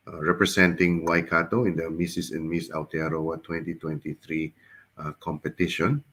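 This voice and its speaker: Raspy, very low-voiced male podcast host. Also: fairly bright tone. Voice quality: slightly raspy. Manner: hesitant, halting, repeats words. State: getting over the flu. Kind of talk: casual online interview. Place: remote video call.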